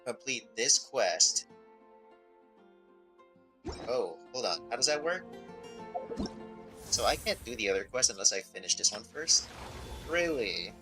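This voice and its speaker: A man giving casual live game commentary as a streamer, reading text aloud and thinking out loud. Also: medium pitch, very animated, unhurried, pauses often, music in the background. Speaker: streamer, vtuber.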